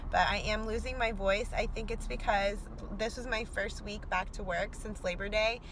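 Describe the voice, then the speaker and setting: A young woman vlogging to her camera. She is losing her voice and sounds raspy, and she gushes with excitement and fills her talk with "like". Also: mid-range voice, normal speed, slight background noise, rough recording. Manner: excited, gushing, many "like" fillers. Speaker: vlogger and teacher. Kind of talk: casual talking-to-camera vlog.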